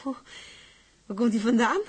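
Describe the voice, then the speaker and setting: A woman's voice: on the high side, normal speed, breathy edge. An actress playing a lovestruck, outspoken young woman from a seaside town in a drama, with blunt, insistent, girlishly colloquial speech. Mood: pleasantly surprised. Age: young adult. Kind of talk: dramatized dialogue acting.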